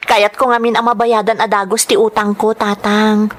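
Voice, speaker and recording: A woman with a Filipino accent acting an overworked, grateful young migrant worker in an audio drama, emotive and softly deferential. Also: medium pitch, level tone, moderate pace, natural voice. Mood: earnest, reassuring. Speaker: drama actress.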